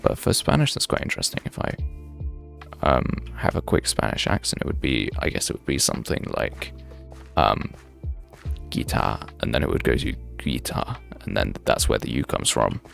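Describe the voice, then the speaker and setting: Man, deep, gravelly fry, swooping lively intonation, rambling streamer chat, faint music behind. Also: normal speed. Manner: rambling, thinks aloud, chatty tangents. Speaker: game streamer. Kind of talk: casual livestream chat while playing.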